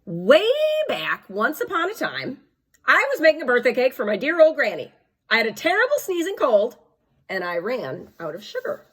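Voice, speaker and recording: A woman's voice: on the high side, wildly swooping pitch, moderate pace, fairly bright tone, slightly rough, exaggerated voice. A woman voicing a chummy male storybook wolf who makes excuses for himself, theatrical, conspiratorial and mock-innocent. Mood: chatty, self-justifying. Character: indignant wolf telling his side, chummy, self-excusing, male. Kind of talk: animated storybook read-aloud.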